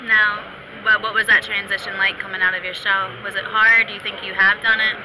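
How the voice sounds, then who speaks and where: Interviewer, brisk and conversational, level flat delivery, noisy hall behind. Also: medium pitch. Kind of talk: press interview questions.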